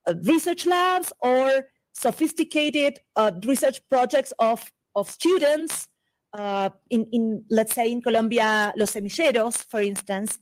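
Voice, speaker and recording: A woman in her forties from Argentina, a native Spanish speaker, giving a conference lecture in English with an Argentine accent. She talks fast and often restarts and repeats words as she goes. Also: a little high, poor audio.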